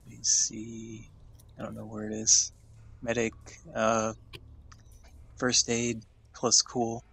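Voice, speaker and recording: Low-voiced guy at a noisy game table. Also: monotone, slow, creaky, bright, forceful tone. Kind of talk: casual table chat.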